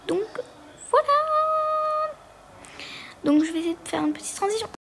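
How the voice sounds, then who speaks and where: A woman's voice: extremely high pitch, big pitch swings, normal speed, vocal fry, very noisy. A woman giving casual, hesitant commentary as she walks through a game, with lots of fillers. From Portugal. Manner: hesitant, many fillers, chatty. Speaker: gaming video creator.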